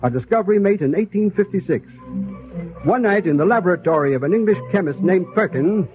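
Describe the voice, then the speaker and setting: An actor playing a knowledgeable, enthusiastic guide who explains with assurance in scripted 1930s educational radio style. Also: male voice, sits high, lively intonation, brisk, over music. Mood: informative. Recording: tinny, noisy 1930s radio recording.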